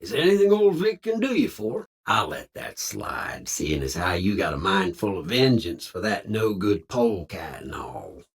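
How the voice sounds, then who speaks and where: Folksy robot cowboy drifter for a video game: husky Southern drawl, wildly swooping pitch, friendly with a sly edge. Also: male voice, big pitch swings, normal speed, few pauses, full character voice. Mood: jovial, folksy, then low, wry. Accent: American Southern cowboy drawl. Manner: folksy slang, theatrical swooping delivery. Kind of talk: video game character dialogue.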